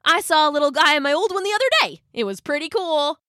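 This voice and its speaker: A woman's voice: high voice, very animated, moderate pace, a bit bright, no echo, clean studio sound, pitched above the performer's own voice. A woman voicing an enthusiastic, sentimental male hermit crab in a chatty, eager manner for a storybook. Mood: excited.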